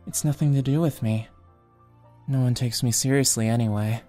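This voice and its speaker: A woman's voice: a bass voice, moderate pace, warm tone, faint music behind. A woman playing a modest, easygoing young tinkerer with a casual, unassuming manner in an amateur comic dub. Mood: wistful, reflective.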